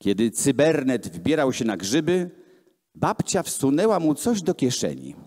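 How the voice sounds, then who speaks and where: A man in his thirties reading children's books aloud theatrically and deliberately into a handheld microphone at a live event. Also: medium pitch, big pitch swings, darkish tone. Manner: theatrical, deliberate storytelling with voiced dialogue. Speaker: reader at a gallery event. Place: large gallery hall with hard floor, indoors. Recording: echoey hall, amplified voice filmed from the room.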